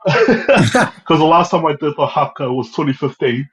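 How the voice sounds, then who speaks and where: A man's voice: on the high side, unhurried, breathy edge, lo-fi sound. A young man from New Zealand, a podcast guest telling stories casually and acting out what people said. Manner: lively storyteller who acts out his own quotes. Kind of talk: casual storytelling interview.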